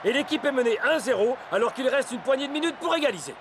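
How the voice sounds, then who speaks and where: A man voicing an excitable, enthusiastic football commentator in a French cartoon dub. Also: extremely high pitch, fast, background noise. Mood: urgent.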